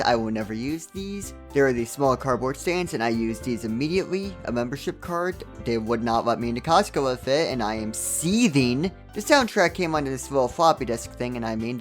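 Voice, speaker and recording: Male game reviewer, very lively pitch, sarcastic comedic narration over background music. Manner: sarcastic, joking asides.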